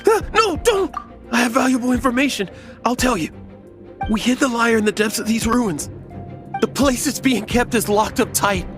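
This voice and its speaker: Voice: mid-range voice, lively intonation, moderate pace, over music, natural voice. An actor playing a cowardly, panicked enemy underling in a video game, frantic and pleading.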